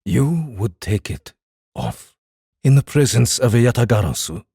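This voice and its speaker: A man playing a centuries-old enslaved demon, grave, proud and menacing, with a husky, rumbling voice and formal, weighty phrasing. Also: sing-song, unhurried, warm tone, no room sound, studio quality, heavily acted. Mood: incredulous.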